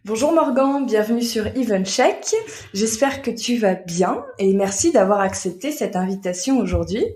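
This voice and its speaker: A woman's voice: mid-range voice, animated, normal speed, a little mellow, husky, soft background music. A woman with a French accent speaking as the host and interviewer of a podcast. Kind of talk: podcast intro and conversational interviewing.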